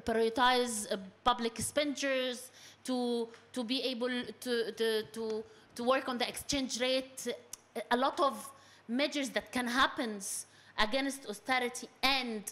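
High, slow-paced woman speaking on stage. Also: a bit bright. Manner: halting, repeating words while searching for phrasing. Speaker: panel speaker, activist. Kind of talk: impassioned public talk. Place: indoor event hall stage. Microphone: handheld microphone.

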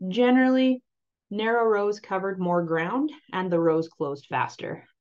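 A woman's voice: mid-range voice, unhurried. Woman explaining soybean trial slides. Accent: Canadian. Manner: clear, explanatory, steady delivery. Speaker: agronomy researcher presenting trial results. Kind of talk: slide presentation walkthrough. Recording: slightly muffled voice-over.

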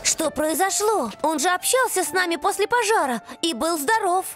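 High-voiced, blunt cartoon boy. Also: expressive, normal speed. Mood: worried. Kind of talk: animated series dub. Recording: music under the voice.

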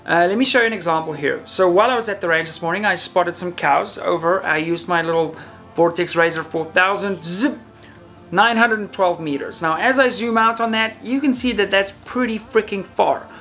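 A man in his thirties talking casually to camera as a channel host, in a conversational way with hesitant, self-qualifying pauses. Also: high-pitched, pushed and bright, faint music behind. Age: 30s. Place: indoor room, talking to camera.

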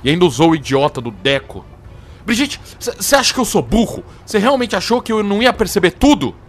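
A man's voice: high-pitched, very animated, fairly quick. A man playing a distraught, accusing programmer in an audio drama, agitated, stammering and sweary. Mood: bitter, accusing. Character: hot-tempered, accusing male programmer, distraught and cornered. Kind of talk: scripted audio drama acting.

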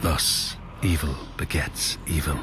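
A man playing a grave storyteller of old Norse legends in a video game, solemn and measured. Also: very deep voice, fairly even intonation, noisy background. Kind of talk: scripted saga narration for a video game.

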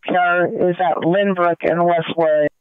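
A man's voice: high voice, fairly even intonation, unhurried, clean tone, dry recording. A man dispatching police units in a clipped, procedural way over a muffled scanner radio.

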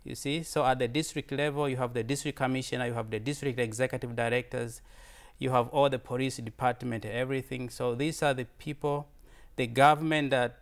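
A man's voice: medium pitch, fairly even intonation, fairly quick. A man in his thirties from Tanzania, with a Tanzanian accent and Swahili as his first language, gives an informal slide presentation in an earnest way, often repeating words and restarting. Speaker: visiting fellow presenter.

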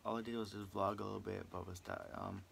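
A man's voice: lowish voice, steady tone, fast talker, gravelly, fairly bright tone, husky. Young man vlogging casually. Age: young adult. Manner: rambling, informal. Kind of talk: casual daily vlog chat. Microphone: phone or camera at arm's length.

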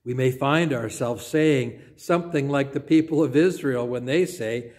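Slow, measured older male preacher. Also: mid-range voice. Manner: measured, deliberate preaching with soft trailing phrase endings. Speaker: pastor. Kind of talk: sermon. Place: small church sanctuary, indoors. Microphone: phone or camera at close range.

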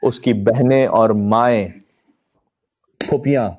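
A man with a mid-pitched voice delivers an impassioned religious sermon. His delivery is emphatic and declamatory, shaped like a mournful storyteller's. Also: fairly even intonation, slow pace, a little mellow. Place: indoor room with draped religious banners, live stream.

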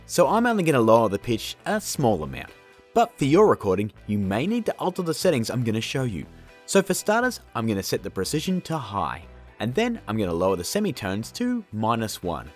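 A man in his thirties with a husky, mid-pitched voice presents a how-to video. His delivery swings widely in pitch, informal and jokey, with stress on key words. Faint music plays behind him. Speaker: video tutorial host. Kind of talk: casual software tutorial narration.

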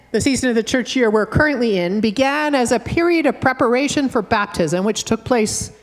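Canadian woman preaching in a reverberant church. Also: on the high side. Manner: measured, earnest preaching with gentle humor. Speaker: minister. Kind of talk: sermon. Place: wood-panelled church sanctuary, at a pulpit. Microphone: fixed church camera at a distance.

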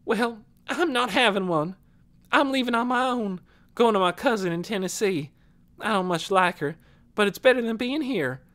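A woman's voice: medium pitch, animated, normal speed, a bit bright, voice pushed up, lightly acted. A woman voicing a guilty, wounded teenage girl in an audiobook, plaintive and pleading, with folksy, uneducated grammar. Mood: regretful.

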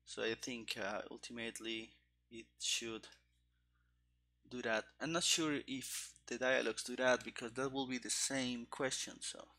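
Male, thirties, casual coding streamer thinking aloud. Bright, crackly voice with plenty of fry, halting delivery. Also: medium pitch, steady tone. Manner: halting, musing to himself. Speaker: streamer, game developer. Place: indoor room at a computer. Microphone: headset. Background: keyboard typing and clicking.

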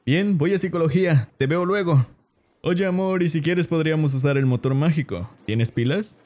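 A self-assured teenage ringleader voiced by a man in a dubbed drama. His voice is mellow and relaxed, and he talks breezily in Latin American Spanish. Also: fairly high pitch, moderate pace, close to an everyday voice. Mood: breezy. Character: cocky, smooth-talking teenage schoolboy ringleader.

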